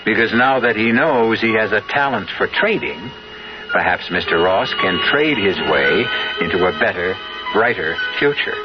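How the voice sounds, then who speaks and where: Wry, expressive low-voiced radio host, man. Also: moderate pace. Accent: American. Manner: wry, theatrical. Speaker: radio drama host narrator. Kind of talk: scripted narration, 1970s radio mystery. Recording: dull vintage radio recording, loud music.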